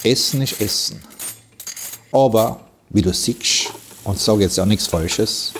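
A ship's cook from a radio play voiced by a man, with a low voice and an Austrian accent. He rambles along colloquially, worried and resigned. Faint noise sits behind the voice. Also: slow pace. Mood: wry, resigned. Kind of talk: conversational radio play monologue.

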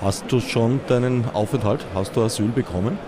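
A man's voice: medium pitch, a little fast, a crowd in the background. A man interviewing exhibitors at a fair with brief, direct questions. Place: busy indoor fair hall.

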